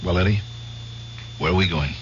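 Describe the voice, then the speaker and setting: An actor playing a troubled, guilt-ridden war veteran near forty in an old-time radio drama, speaking earnestly and hesitantly. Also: male voice, sits low, moderate pace, lightly acted. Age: 30s. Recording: muffled, noisy radio broadcast recording, humming.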